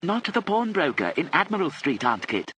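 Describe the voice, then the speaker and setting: In a novel reading, a man plays an exasperated lord, clipped and incredulous. His voice is bright and swoops about, over faint noise. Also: a little high, sing-song, normal speed, voice pushed up, low-quality recording. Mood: alarmed. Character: flustered, exasperated English lord, family father.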